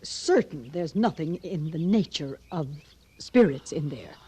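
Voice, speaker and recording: A woman's voice: low-pitched, lively intonation, measured pace, dark tone, slightly rough, exaggerated voice. A woman playing a prim, haughty, easily shocked frontier lady in an old-time radio western. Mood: prim, suspicious. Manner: prim, proper, indignant. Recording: noisy vintage radio recording.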